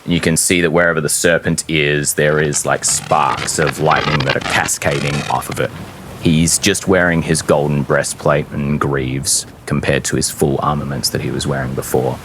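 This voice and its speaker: A man's voice: very deep voice, little pitch movement, normal speed, noisy background. A man narrating a fantasy tabletop game as game master, in a descriptive storytelling style.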